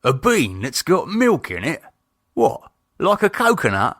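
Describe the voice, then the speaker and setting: A man's voice: on the high side, huge pitch swings, measured pace, a bit bright, no echo, studio quality, voice pushed up, heavily acted. A man voicing a middle-aged British pub landlord in an audiobook, a teasing husband and father with a blunt, colloquial, bantering way of talking. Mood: dismissive, puzzled.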